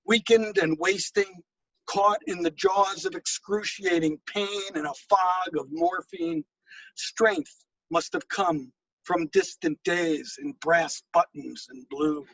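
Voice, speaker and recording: High-pitched man reading poetry, slow and gravelly. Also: big pitch swings, fairly bright tone, no echo, poor audio. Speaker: poet reading own work. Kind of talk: poetry reading with spoken introductions.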